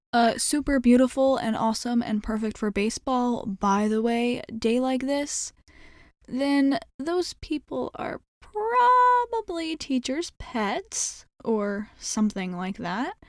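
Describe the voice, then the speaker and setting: A woman's voice: fairly high pitch, darkish tone, no room sound, studio quality. A woman playing a nervous, blunt, sporty schoolgirl team captain in an ASMR roleplay, rambling and backtracking as she pleads with the listener. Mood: coaxing, pleading. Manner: rambling, halting, backtracking mid-thought.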